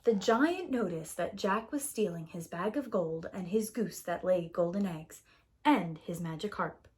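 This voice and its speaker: A woman narrating a children's story as a preschool storyteller, in an animated style. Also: on the low side, unhurried, a little hiss or hum.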